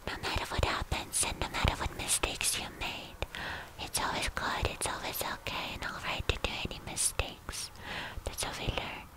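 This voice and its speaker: A woman doing an ASMR roleplay as a tender, protective anime demon girlfriend, in a breathy whisper, repeating words soothingly. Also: lightly acted, rough recording. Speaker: ASMR performer.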